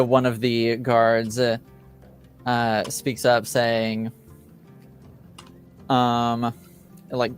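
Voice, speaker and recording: A male game master with a medium-pitched voice improvises fantasy narration. He goes slowly and haltingly, searching for words, with faint music behind him. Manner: halting, hesitant delivery.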